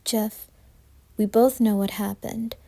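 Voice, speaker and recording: A woman voicing a gentle but firm suburban mother in a horror story reading. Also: on the high side, fairly even intonation, takes it slowly. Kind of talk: amateur creepypasta dramatized reading.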